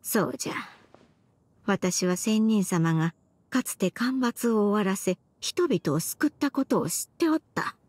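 A remorseful old grandmother from a fantasy game, tells of her past slowly and gravely. Her voice is husky and mid-pitched, with old-fashioned elderly phrasing. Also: expressive, a little mellow, dry recording. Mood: wistful, reflective. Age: older. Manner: slow, archaic elderly way of speaking. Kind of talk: Japanese game voice acting, dramatic monologue.

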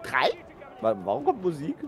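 A man's voice: high voice, very animated, normal speed, creaky, crowd noise. A man gamer in casual game chat, teasing and goofing around with frequent exclamations. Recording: faint background noise.

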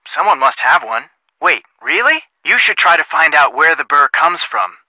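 A sensible young American detective on a call in a video game. His voice is fairly high and very bright, with animated, wry delivery. The sound is close and a little dull. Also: moderate pace, no echo, full character voice. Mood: thoughtful. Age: young adult. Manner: wry, conversational. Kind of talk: video game phone dialogue.

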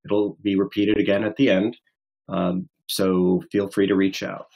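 An American man with a fairly low voice presents in a webinar. His delivery is polished and explanatory, like an official briefing an audience on his field. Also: flat delivery, unhurried, gravelly, highs cut off. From Estonia and the United States. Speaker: government trade director, guest speaker.

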